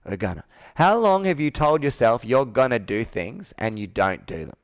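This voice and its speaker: A man's voice: medium pitch, big pitch swings, normal speed, no echo, clean studio sound. A man in his thirties working as a sales coach, talking persuasively and assertively, with probing rhetorical questions. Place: indoor office with a flip chart, on a video call.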